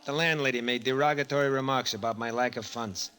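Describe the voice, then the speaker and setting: A man's voice: medium pitch, fairly even intonation, quick pace, a bit bright, smooth, lightly acted. An actor playing a brash young down-on-his-luck racetrack tout, cheeky and casual, in old-time radio drama style. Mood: gloomy. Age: young adult. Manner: cheeky, casual, persistent hustler's patter. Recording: vintage radio broadcast recording.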